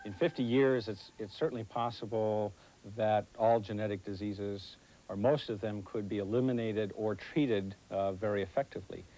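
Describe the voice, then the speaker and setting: A male scientist explains in a relaxed, dark-toned, slightly low voice, leaning on key words. Faint music plays under the interview. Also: rough recording. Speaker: scientist, research team leader.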